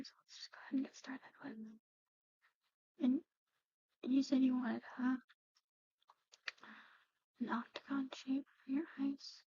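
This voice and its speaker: A woman doing an ASMR roleplay, speaking softly and often slipping into whispers, with a hesitant, halting delivery. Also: high-pitched, slightly rough, muffled recording. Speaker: ASMR performer.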